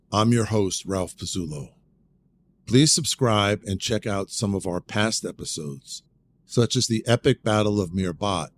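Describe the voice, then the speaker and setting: Male podcast host with a low voice. Earnest, formal scripted read, then short interview questions, over faint background noise.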